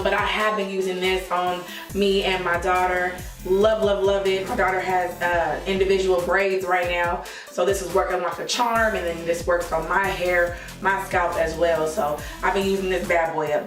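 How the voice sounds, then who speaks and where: A woman in her thirties with a hoarse, worn voice, chatting through a hair tutorial in an emphatic, friendly way. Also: medium pitch, echoey room, distant mic. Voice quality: hoarse, lost voice. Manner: chatty, emphatic, says y'all a lot. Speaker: hair vlogger. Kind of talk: casual hair tutorial chat.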